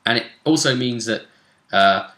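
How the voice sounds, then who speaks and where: A man hosting a casual chat about a tabletop role-play game, telling it with emphatic, drawn-out phrasing. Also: mid-range voice, pushed and bright. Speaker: host. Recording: roomy, echoey room sound.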